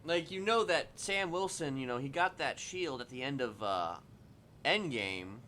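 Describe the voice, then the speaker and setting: A man's voice: mid-range voice, lively intonation, a little slow, pushed and bright, a little hiss or hum. A man chatting casually on a livestream in a rambling, hedging, conversational way. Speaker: live streamer. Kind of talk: casual chat during a game livestream.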